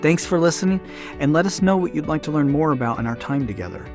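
A man's voice: fairly high pitch, lively intonation, fast. A man hosting a podcast, delivering scripted narration to the listener. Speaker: podcast host. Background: music.

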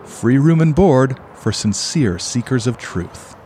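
A man's voice: mid-range voice, lively intonation, slow, noisy background. A man playing an earnest, naive, slightly hesitant motel UFO believer in an audio play. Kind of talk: audio play acting and first-person narration.

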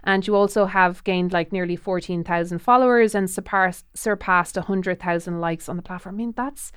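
A woman's voice: lowish voice, normal speed, smooth, dry recording, clean studio sound. A woman hosting a casual interview, chatty and effusive, often trailing off and restarting. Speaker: podcast host.

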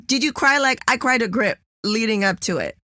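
A woman hosting a casual interview, enthusiastic and expressive, laughing often. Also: fairly high pitch, brisk, no room sound, clean studio sound. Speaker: interview host.

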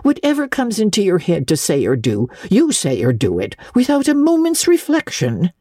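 A woman voicing a brisk, bossy older village busybody, knowing and emphatic, in a dramatic classic audiobook reading. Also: medium pitch, huge pitch swings, warm tone, dry recording, clean studio sound, voice pushed up. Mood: admonishing.

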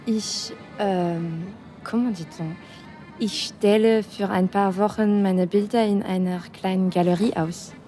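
Mellow young French woman, played by an actress. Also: mid-range voice, steady tone, measured pace, soft background music, natural voice. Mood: inviting. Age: young adult. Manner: casual, hesitant, searching for German words. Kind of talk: intimate audio drama acting.

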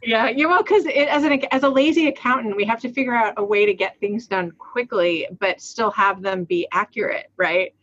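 A woman's voice: fairly high pitch, normal speed, few pauses, fairly bright tone, dry recording, muffled recording. A woman in her forties from Rhode Island, co-hosting a casual accounting podcast, chatty, laughing often and restarting her sentences. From Rhode Island, USA. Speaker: podcast co-host.

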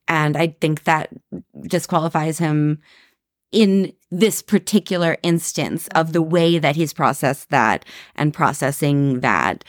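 A woman's voice: low voice, unhurried, no echo, studio quality. A woman co-hosting a casual book podcast, talking frankly and joking with a profane edge. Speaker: podcast co-host, writer.

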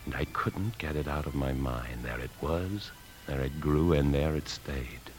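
An American actor playing a scheming, secretive married bank man in a 1950s radio drama, brooding and deliberate. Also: extremely low pitch. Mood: brooding, obsessed. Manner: brooding, deliberate, hesitant when lying. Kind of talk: 1950s radio drama acting and confessional narration. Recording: 1950s radio broadcast recording.

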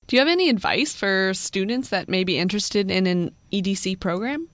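A woman's voice: medium pitch, animated, a little fast, fairly bright tone. A woman co-hosting a podcast, asking questions in a plain conversational way. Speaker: podcast co-host. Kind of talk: casual podcast chat. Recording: dull lo-fi audio.

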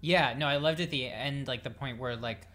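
Very low-voiced American woman, chatty host. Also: normal speed, a bit bright, slight background noise. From Saint Paul, Minnesota, United States. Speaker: music show host interviewing a guest. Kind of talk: casual chat.

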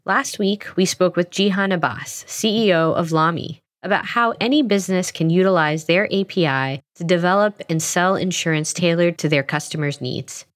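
A woman's voice: on the low side, normal speed, few pauses. A woman hosting an interview show, moving between scripted introductions and prepared questions for her guest. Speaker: podcast host and interviewer.

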